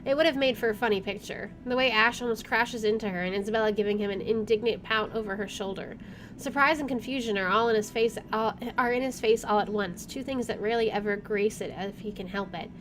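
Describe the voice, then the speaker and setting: A woman's voice: mid-range voice, steady tone, fast, a bit bright, slight background noise. A woman gaming streamer reading visual novel narration aloud and adding casual asides.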